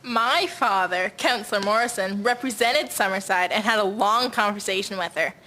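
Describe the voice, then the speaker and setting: A woman's voice: mid-range voice, moderate pace, pushed and bright, a little hiss or hum, lightly acted. A female actor playing a proud, earnest 1950s schoolgirl in an amateur audio drama, with careful recited delivery.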